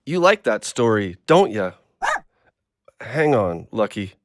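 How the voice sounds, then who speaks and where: A man's voice: lowish voice, very animated, slow, dry recording. A tired kindly guy down on his luck, folksy, voice swings up and down, dry recording. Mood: affectionate. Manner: folksy, colloquial. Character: weary, kindly down-on-his-luck man with bad back. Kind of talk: audio drama acting.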